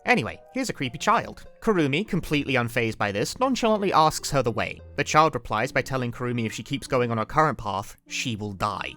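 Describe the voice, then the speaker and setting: A man reads his own scripted video essay with a lively, swooping intonation and a dry, wry sense of humor, dropping casual asides. His pitch sits in an ordinary middle range for a man, and faint music plays underneath. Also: a little fast, few pauses.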